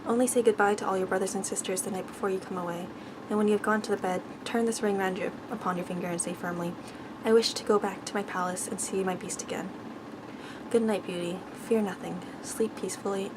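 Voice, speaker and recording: A woman voicing a gentle, lovesick male beast in a storybook, softly and with doleful earnestness. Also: mid-range voice, steady tone, fast, noisy background, lightly acted. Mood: resigned, solemn.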